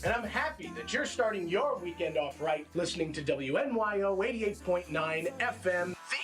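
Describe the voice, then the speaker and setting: A man's voice: high-pitched, expressive, moderate pace, a bit bright, far from the mic, soft background music. A man announcing a college radio station as its DJ in an upbeat promo.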